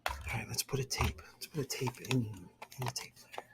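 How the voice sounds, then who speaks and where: A middle-aged man chatting casually for ASMR in a hushed, breathy, whispery voice with an unhurried manner. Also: medium pitch, very noisy. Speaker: ASMR video host. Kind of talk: ASMR, casual unscripted chat.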